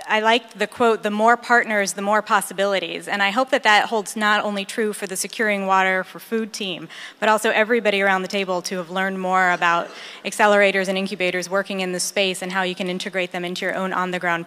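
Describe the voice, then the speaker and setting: A woman's voice: mid-range voice, sharp tone. A woman moderating a live roundtable event, facilitating informally in a meeting room. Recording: long reverb of a hall.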